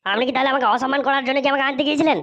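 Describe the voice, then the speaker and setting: A woman's voice: high voice, steady tone, very fast, a bit bright, muffled recording. A woman voicing a touchy, rude, indignant young bridegroom in a comedic cartoon.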